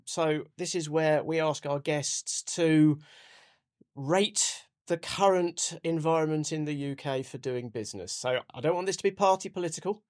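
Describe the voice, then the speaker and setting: Upbeat podcast host man. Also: a little high. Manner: upbeat, guiding questions, frequent "you know". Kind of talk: hosting a business podcast.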